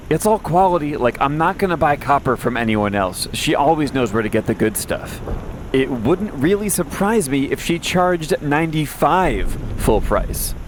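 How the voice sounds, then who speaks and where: A man's voice: mid-range voice, a little hiss or hum, natural voice. A man playing a wry, weary post-apocalyptic radio host who rambles conversationally through a late-night audio drama monologue. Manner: conversational, wry, rambling asides and self-corrections.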